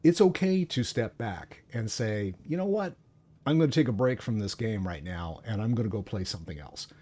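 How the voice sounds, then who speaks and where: A man's voice: fairly low pitch, lively intonation, moderate pace, mellow. An older man chatting casually about gaming as he hosts a stream. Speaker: game streamer, host.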